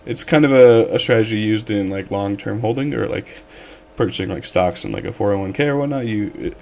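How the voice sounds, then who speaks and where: A man with a slightly hoarse voice, streaming a casual coding tutorial from his desk and rambling with lots of fillers. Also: on the low side, brisk, slight background noise. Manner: relaxed, rambling with many fillers. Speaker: livestream coding instructor.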